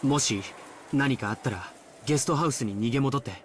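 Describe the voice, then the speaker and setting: A man playing an earnest, rapid-talking young man. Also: mid-range voice, slight background noise, natural voice. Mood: concerned. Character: earnest, protective young man with quiet determination. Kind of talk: Japanese visual novel voice acting. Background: game music.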